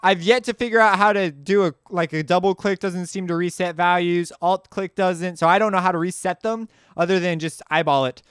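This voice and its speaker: A man giving a casual, off-the-cuff software walkthrough as a tutorial host, informal and slangy, rambling as he goes. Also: high voice, brisk, hardly any pauses, clean tone, no echo, clean studio sound.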